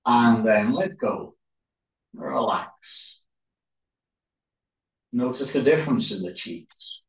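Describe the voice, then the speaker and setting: Slow-paced man guiding relaxation, roomy echo. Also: mid-range voice. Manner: calm, unhurried, short phrases with pauses. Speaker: yoga instructor. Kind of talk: guided relaxation instruction. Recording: roomy echo, distant microphone.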